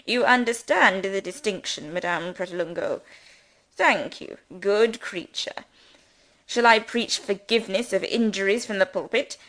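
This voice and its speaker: Pompous male clergyman, sermonizing, loud and grand, as a woman reads him. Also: mid-range voice, natural voice. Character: pompous pious male country rector, a preaching father.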